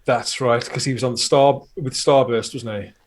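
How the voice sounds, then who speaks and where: A man's voice: medium pitch, steady tone, normal speed. A man chatting casually as a podcast guest, effusive and rambling as he talks. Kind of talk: casual chat.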